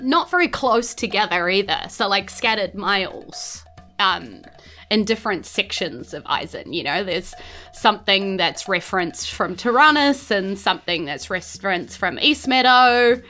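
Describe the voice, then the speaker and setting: A woman's voice: mid-range voice, animated, a little slow, bright, forceful tone, soft background music. A woman with a New Zealand accent improvising narration as game master of a tabletop game, in an informal, conversational way. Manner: informal, conversational, hedging with "like" and "you know".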